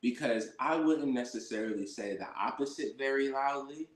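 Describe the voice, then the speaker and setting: A man's voice: mid-range voice, moderate pace. A man livestreaming a casual, slangy reaction as host, often sounding incredulous. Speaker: livestream host reacting to his own dating-show episode. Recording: roomy livestream audio with echo.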